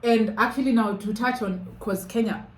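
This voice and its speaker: A woman's voice: fairly high pitch, fairly even intonation, moderate pace. A woman hosting a casual sit-down chat, explaining things step by step and saying "like" often. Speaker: channel host. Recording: roomy echo.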